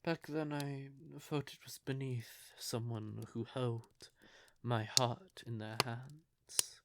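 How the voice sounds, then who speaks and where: A man voices a cold, possessive male vampire in a roleplay audio drama. He speaks slowly at a medium pitch, with theatrical, condescending menace toward a lover who betrayed him. Clear background noise sits in the recording. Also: fairly even intonation. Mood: wounded, cold. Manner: theatrical, slow, condescending, deliberate.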